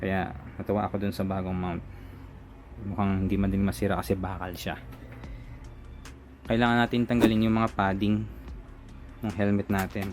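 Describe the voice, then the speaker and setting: Filipino man, lowish voice, casual step-by-step review narration, informal, faint music behind. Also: little pitch movement, moderate pace, pauses often. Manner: informal, step-by-step, narrating own hands-on work. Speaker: product reviewer. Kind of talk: casual unboxing and installation walkthrough.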